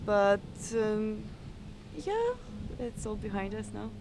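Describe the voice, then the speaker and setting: A woman in her twenties with a Croatian accent, a pianist answering interview questions thoughtfully, pausing and repeating words. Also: mid-range voice, very animated. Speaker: competition-winning pianist. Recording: music playing underneath.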